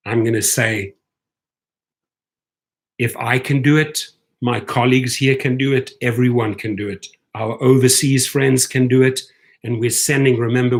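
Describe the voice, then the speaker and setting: A man's voice: medium pitch, a bit bright. South African man rallying drivers on a livestream. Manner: rallying, exhorting fellow drivers with repeated "right?". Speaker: rideshare livestream host. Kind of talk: live-stream chat reading viewer comments.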